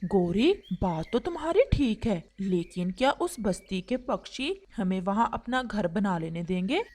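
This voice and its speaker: A woman's voice: medium pitch, expressive, a little slow, darkish tone. A woman voicing a mild, agreeable crow husband in a cartoon story, a little doubtful and deferring. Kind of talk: Hindi cartoon storybook dialogue.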